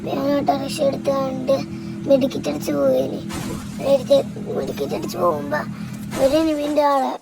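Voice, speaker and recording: A gamer with a northern Kerala Malabar accent gives casual, halting commentary, recounting gameplay moves step by step. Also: high voice, flat delivery, creaky, over loud music, rough recording. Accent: northern Kerala Malabar Malayalam.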